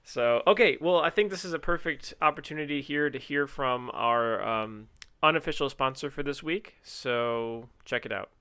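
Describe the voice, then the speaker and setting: A man's voice: mid-range voice, moderate pace, sharp tone, clear, resonant tone. A man co-hosting a podcast in casual chat about music teaching, playful and jokey. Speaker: podcast co-host.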